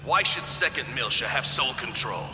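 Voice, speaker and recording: A man's voice: medium pitch, expressive, moderate pace, sharp tone, soft background music. A man voicing a smug, condescending, insinuating senator in a video game. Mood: disdainful. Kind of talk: video game cutscene acting. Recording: echoey chamber with long reverb.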